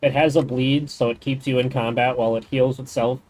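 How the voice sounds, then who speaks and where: A man's voice: mid-range voice, fairly even intonation, brisk, a little mellow, rough recording. A man streaming a game, chatting casually and explaining the game's mechanics in detail. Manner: rambling, thinks aloud. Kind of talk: casual gaming chat.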